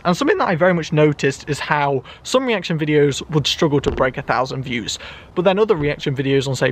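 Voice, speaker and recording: A young man with a fairly high voice talks loudly and chattily to camera, poking fun at himself as he goes. He is recording outdoors in an enclosed garden at night. His voice carries a slight echo off the surrounding trees. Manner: loud and chatty, self-mocking. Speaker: YouTuber. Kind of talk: casual vlog monologue.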